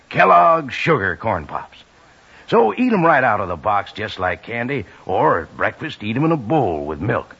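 A man delivering a folksy, enthusiastic sales pitch in 1950s radio advertisement style. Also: creaky, fairly bright tone, breathy edge. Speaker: commercial pitchman. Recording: muffled old radio recording.

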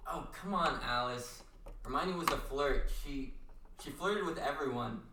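A man voicing a cautious middle-aged husband in an amateur radio play reading, in a low and wary tone. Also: a little slow, far from the mic, background noise, close to an everyday voice. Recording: echoey room, voice off-mic.

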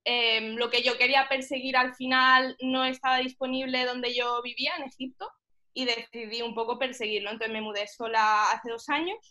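Bright, high-voiced young woman, evenly pitched. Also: fairly even intonation, normal speed. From Middle East, mostly Egypt. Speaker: powerlifting athlete and coach, podcast guest. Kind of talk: casual interview chat.

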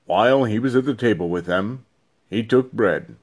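A man narrating a Bible reading in a measured, solemn way with deliberate phrasing. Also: fairly low pitch. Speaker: scripture reader.

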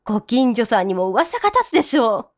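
A woman's voice: fairly high pitch, sing-song, a little fast. A woman acting a strict, anxious mother in a voice drama, talking in blunt everyday speech. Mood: scolding, alarmed.

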